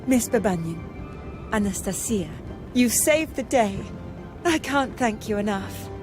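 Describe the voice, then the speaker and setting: A woman playing an excitable, genteel young lady, gushing and emphatic with relief, in scripted game dialogue. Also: mid-range voice, measured pace, music in the background, lightly acted. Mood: elated relief.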